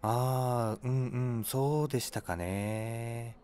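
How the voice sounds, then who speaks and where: A man's voice: medium pitch, slow pace, darkish tone, smooth, lightly acted. An actor playing a vague, socially awkward man on a date in a comedy audio drama, hesitant and noncommittal.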